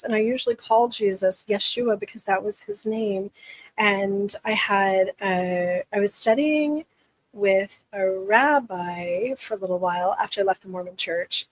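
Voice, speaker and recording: A woman's voice: mid-range voice, no echo, poor audio. A woman in her forties who is losing her voice, hosting a live chat and speaking candidly with frequent restarts. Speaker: livestream host. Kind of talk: casual live chat answering viewers.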